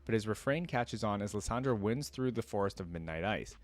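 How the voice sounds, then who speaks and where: Man, lowish voice, casual reaction commentary, informal read-aloud, dry close recording. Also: lively intonation, a little fast, no room sound. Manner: informal, uses slang like dude and man. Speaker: reaction video creator.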